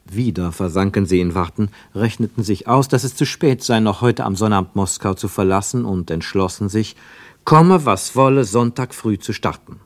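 A man narrating a scripted radio drama in a measured, literary and dramatic manner. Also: fairly low pitch, dry recording.

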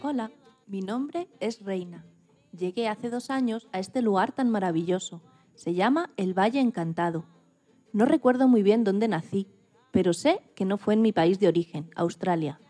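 A woman voicing a small, delicate female animal telling her own story gently in a radio programme. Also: mid-range voice, moderate pace, soft background music, lightly acted. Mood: gentle, reflective. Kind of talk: first-person scripted animal monologue.

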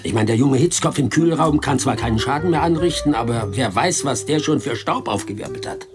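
Lively, fussy male accomplice acted by a man. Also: medium pitch, fairly quick, lightly acted. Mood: uneasy. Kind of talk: German radio play dialogue. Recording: music and clinking dishes behind.

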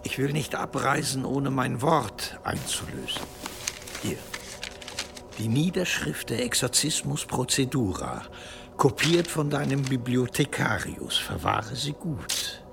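Gravelly, pompous bishop. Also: male voice, mid-range voice, lively intonation, takes it slowly. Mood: solemn. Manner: pompous, stately. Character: self-important, scheming prince of the church, a bishop. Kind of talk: German audio drama acting.

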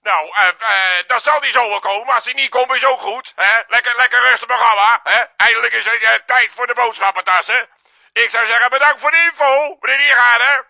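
A very bright, piercing comic radio host, irritable and bossy, with a Dutch accent. He stammers and repeats himself as he tries to run his show, high in pitch with a creaky edge. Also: brisk, exaggerated voice. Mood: flustered, grumbling. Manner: stammering, repetitive, exasperated. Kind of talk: comedy radio sketch, mock live broadcast.

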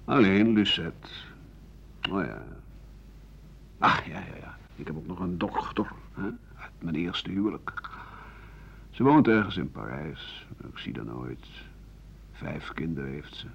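Gravelly, embittered old French writer. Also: male voice, low voice, lively intonation, fairly bright tone, exaggerated voice. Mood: resigned. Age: older. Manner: bitter, grumbling, halting, trailing off to murmurs. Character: embittered, weary 67-year-old French writer and doctor, pessimistic. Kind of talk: radio play monologue as interview answers. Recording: dull, hissy 1980 radio recording.

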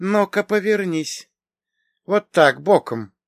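Imperious middle-aged aunt of noble birth played by a male narrator: raised pitch, haughty, commanding, dry audiobook sound. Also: expressive, slow, gravelly, no echo, full character voice. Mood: bossy, appraising. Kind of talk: acted audiobook dialogue.

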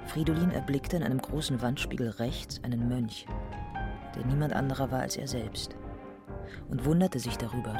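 A man with a medium-pitched voice narrates a literary radio drama. His scripted prose is set over clear background music. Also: moderate pace.

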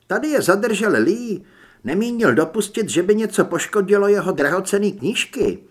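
A hard-bitten woman hunter from an audiobook, performed by a man. The voice is lifted and husky, with a blunt, controlled delivery that stays cool and threatening. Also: high-pitched, expressive, voice pushed up. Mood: intense.

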